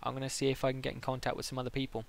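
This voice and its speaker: A man hosts a live stream in a casual, conversational style. His voice sits at an ordinary middle pitch with a good deal of creak and fry in it. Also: monotone, very fast, slight background noise. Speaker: live stream host and interviewer. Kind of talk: casual livestream interview chat.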